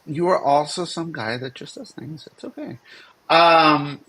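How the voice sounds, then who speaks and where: A man's voice: on the high side, a little slow, bright, forceful tone, husky. A man co-hosting in a casual, unscripted chat, talking in a rambling, jokey way. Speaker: co-host and game developer.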